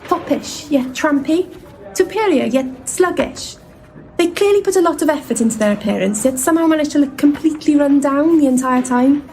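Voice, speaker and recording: A woman's voice: sits high, normal speed, darkish tone, a little hiss or hum, poor audio. A woman playing a polite, earnest young intern giving her account in an audio drama. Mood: wry, recounting.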